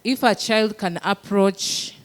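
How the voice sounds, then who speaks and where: A woman's voice: medium pitch, slow pace. A woman speaking casually as an audience member, feeling her way in short, hesitant phrases through a personal question.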